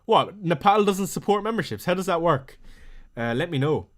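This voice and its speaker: A man's voice: high voice, expressive. Chatty Irish man on a live stream. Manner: chatty, reads viewer messages aloud and answers them quickly. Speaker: fantasy football streamer. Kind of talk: casual live stream chat.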